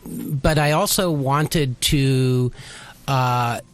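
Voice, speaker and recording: A man giving a casual radio interview as a radio theater writer, explaining things in a hesitant, halting way. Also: mid-range voice.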